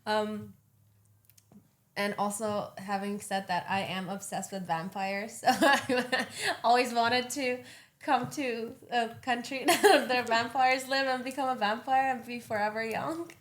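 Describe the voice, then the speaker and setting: A woman's voice: a little high, steady tone, measured pace. A woman, a native Farsi speaker, chatting as a podcast guest, enthusiastic and giggly. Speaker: actress and filmmaker, guest. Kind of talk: casual chat.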